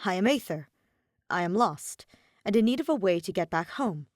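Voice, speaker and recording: A woman's voice: mid-range voice, lively intonation, moderate pace, a little mellow, no room sound, clean studio sound, lightly acted. A woman voicing a confused, sarcastic young man lost in a storybook land, hesitant and wry. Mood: polite.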